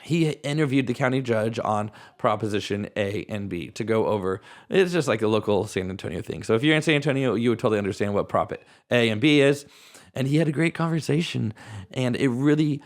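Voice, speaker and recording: A man with a raspy voice, hoarse from a cold, hosting a podcast at home in a casual, rambling way. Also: mid-range voice. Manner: casual, rambling, thinks aloud with restarts. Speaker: podcast host. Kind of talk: solo podcast talk.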